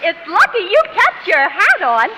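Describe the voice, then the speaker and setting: Through loud crackle, a pert young American sidekick with an extremely high, swooping voice. She explains things matter-of-factly in the manner of 1930s radio comedy. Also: wildly swooping pitch, a little slow, full character voice. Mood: pert, explaining.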